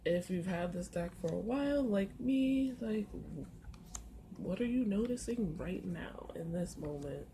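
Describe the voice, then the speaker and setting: Slow, husky-throated woman with a mellow voice. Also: medium pitch, expressive. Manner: unhurried, halting, with many filler pauses. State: sore throat, voice a bit off. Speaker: tarot and oracle card content creator. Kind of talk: casual instructional walkthrough. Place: first-floor apartment on a busy street. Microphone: camera. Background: faint street noise.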